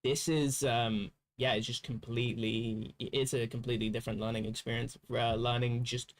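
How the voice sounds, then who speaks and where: A man's voice: medium pitch, flat delivery, normal speed. A young man who grew up speaking Slovak, chatting casually on a livestream, rambling with restarts and laughs. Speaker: livestream co-host. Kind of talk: casual chat.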